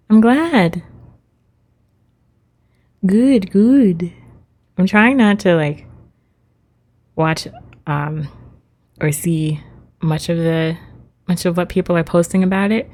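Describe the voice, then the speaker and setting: Mellow, unhurried woman streamer. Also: fairly low pitch. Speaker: live streamer. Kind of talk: casual game-stream chat.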